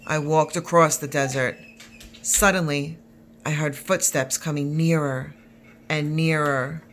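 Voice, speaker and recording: A woman's voice: low voice, a bit bright. A woman voicing an unflappable grandpa who tells a tall tale in a storybook.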